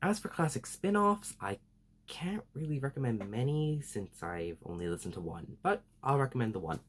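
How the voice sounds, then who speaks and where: A man's voice: mid-range voice, big pitch swings, normal speed. A man hosting a fan recommendation video, talking casually and enthusiastically to viewers with emphatic, gushing delivery.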